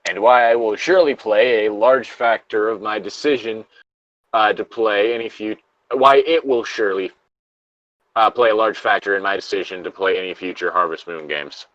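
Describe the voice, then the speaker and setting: A man's voice: on the low side, normal speed, fairly bright tone, dry recording, dull audio. A man co-hosting a comic read-aloud, bantering casually and swearing with mock indignation. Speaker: co-host reading video game creepypastas aloud.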